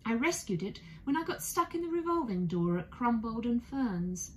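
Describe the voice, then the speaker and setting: A woman's voice: fairly high pitch, big pitch swings, normal speed, slight background noise, pitched above the performer's own voice. A woman voicing an earnest, polite, apologetic young bear in a children's storybook reading. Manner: earnest, courteous, eager to please.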